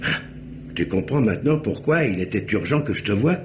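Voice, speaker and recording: A man's voice: fairly low pitch, lively intonation, brisk, roomy sound, background noise, lightly acted. An actor playing a fussy, authoritative middle-aged examining magistrate in a theatrical radio mystery style, often repeating his words. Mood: grave, insistent. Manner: theatrical, hesitant repetitions, commanding. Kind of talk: 1950s French radio mystery acting.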